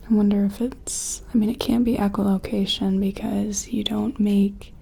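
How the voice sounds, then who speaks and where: A woman playing a timid, awed scientist in a sci-fi ASMR roleplay, whispering and murmuring gently with hesitant, musing phrasing. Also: mid-range voice, exaggerated voice. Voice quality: breathy whisper mixed with soft, creaky murmur.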